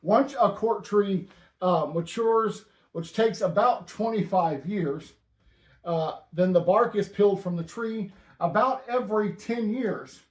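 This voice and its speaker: Deadpan man hosting in an echoey room. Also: high voice, slow pace. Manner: dry, deadpan banter. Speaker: host. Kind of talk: casual stamp-collecting chat.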